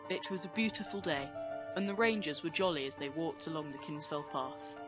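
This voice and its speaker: A man's voice: fairly high pitch, fairly quick, music in the background. A man giving scripted storytelling narration for an audio play.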